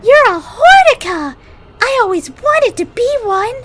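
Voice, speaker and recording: A man voicing an exhausted, bewildered brother just freed from possession in a story reading, with a high, put-on voice over music. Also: sing-song, measured pace, full character voice. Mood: amazed. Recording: loud music under the voice.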